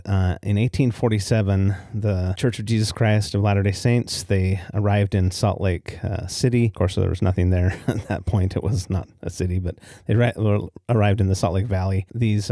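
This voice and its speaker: A man's voice: low voice, steady tone, moderate pace, hardly any pauses, warm tone, dry recording, clean studio sound. An American man hosting a podcast alone, telling stories in a casual, easygoing way and given to chuckling at his own remarks. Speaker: podcast host. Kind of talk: casual solo storytelling podcast.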